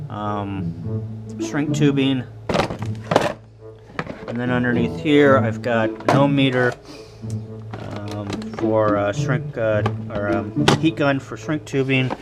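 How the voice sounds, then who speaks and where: An American man talks through things casually in an unscripted vlog, at an unhurried pace with small hesitations. His delivery is matter-of-fact and medium in pitch. Prominent guitar music plays behind his voice. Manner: halting, matter-of-fact, listing items. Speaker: ham radio hobbyist vlogger. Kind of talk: casual unscripted video walkthrough. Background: background guitar music.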